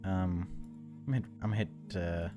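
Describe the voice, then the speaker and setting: A man's voice: deep voice, fairly even intonation, a little slow. A man hosting a gaming video, speaking over a horror visual novel he is playing. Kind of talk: dramatic narration of game text, then casual commentary. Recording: music behind the voice. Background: game music.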